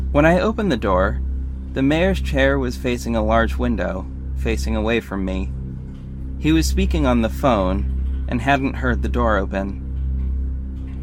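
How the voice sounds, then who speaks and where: A man's voice: medium pitch, natural voice. A man playing a confident, flirty detective and secret vigilante, narrating an audio drama in the first person, conversational and confiding. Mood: composed. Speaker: narrator and lead character. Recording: faint background noise.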